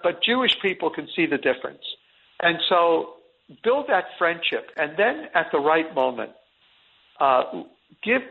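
A man's voice: on the high side, breathy edge. A middle-aged man speaking as an interview guest, earnest and rambling, restarting his sentences often. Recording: tinny phone line.

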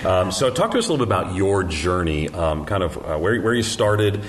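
A man's voice: low voice, very animated, a little fast, faint music behind. A man in his fifties hosting an interview, chatty, with stumbling restarts. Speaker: interview host. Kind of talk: talk show interview.

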